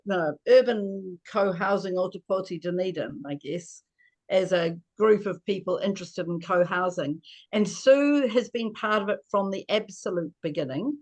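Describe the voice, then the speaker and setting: An older woman gives an informal talk. Her voice sits at a medium pitch, and she feels her way through sentences, stopping to correct herself. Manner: halting, self-correcting. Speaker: co-housing resident presenting. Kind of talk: informal presentation. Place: video call from Dunedin.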